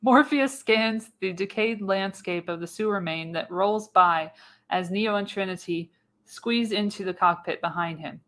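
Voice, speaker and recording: A woman with a mid-pitched voice narrates a radio play. She reads screenplay directions aloud as scripted narration, now and then stumbling over a word. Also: measured pace, a bit bright.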